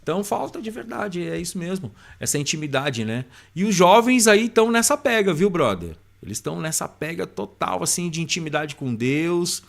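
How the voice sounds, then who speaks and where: A man with a Brazilian accent and a slightly high voice hosts a podcast. He chats casually and uses plenty of slang, talking to his guest in an informal way. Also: big pitch swings, normal speed, vocal fry, few pauses. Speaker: podcast host. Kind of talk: casual chat.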